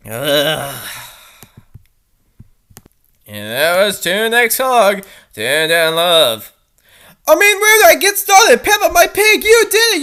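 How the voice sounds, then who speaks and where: Bright, sarcastic fan fiction reader, very animated. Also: mid-range voice. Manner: sarcastic, exaggerated. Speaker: host reading fan fiction aloud. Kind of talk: mocking dramatic reading with commentary.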